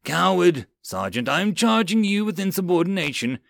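A man voicing a stubborn, hot-headed male lieutenant in a military fantasy audiobook, shouting orders and accusations in a shrill, panicky way. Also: sing-song, a little fast, no echo, clean studio sound. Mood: furious.

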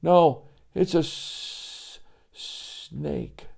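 A man's voice: a little high, measured pace, warm tone, pitched above the performer's own voice. A man voicing a young boy, Joey, who is easily frightened and talks in a raised, nervous voice with stammers. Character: scared young boy Joey, jumpy and high-strung.

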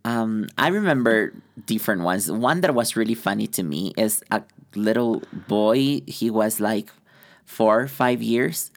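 A man's voice: lowish voice, animated, measured pace. Ecuadorian man with a Spanish accent, chatting casually. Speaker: podcast co-host. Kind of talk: casual podcast chat.

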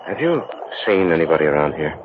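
A man's voice: a bass voice, sing-song, fast talker. An actor playing a skeptical, uneasy man who narrates a horror tale in old radio style. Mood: hesitant. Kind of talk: 1940s-50s radio horror acting. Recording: muffled, noisy old radio broadcast.

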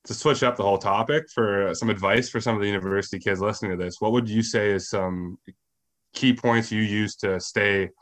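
A man's voice: fairly low pitch, steady tone, a little fast, a bit bright. A man co-hosting a podcast, asking long winding questions in a casual way. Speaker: podcast co-host.

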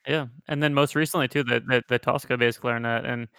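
Bright, smooth-voiced young man host. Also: mid-range voice, fairly even intonation, fast, dry recording, studio quality. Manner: easygoing, chatty, laughs often. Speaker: podcast host. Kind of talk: casual interview.

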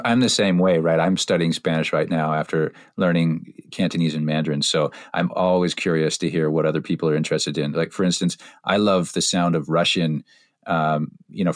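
A man's voice: a bass voice, no echo, studio quality. A middle-aged man interviewing a guest, curious and chatty, asking long questions. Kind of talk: conversational interview.

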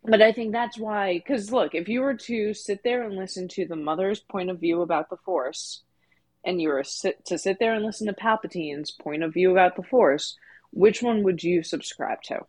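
A woman co-hosting a casual discussion podcast, speaking in an opinionated way with animated emphasis. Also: medium pitch, moderate pace. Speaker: podcast co-host.

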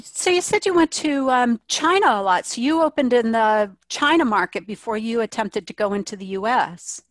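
A woman's voice: on the high side, expressive, moderate pace, dry recording. Woman host with a dry, close sound. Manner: asks questions, recounts guest stories. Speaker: podcast host. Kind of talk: interviewer chat.

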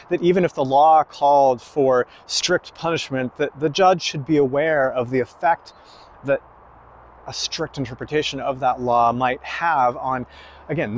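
A man preaching a sermon slowly and emphatically, pausing between phrases. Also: mid-range voice, a little hiss or hum. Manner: slow, emphatic preaching with long pauses.

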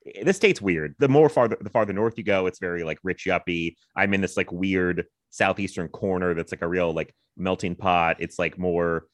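A man's voice: sits low, normal speed, hardly any pauses, no room sound, clean studio sound. An American man hosting a podcast, chatting casually in a jokey, rambling way. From Virginia, US. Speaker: podcast host. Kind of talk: casual chat.

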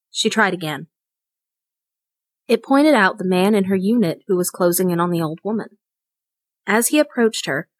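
A woman's voice: mid-range voice, no echo. A woman reading a short story aloud as scripted narration. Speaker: story reader.

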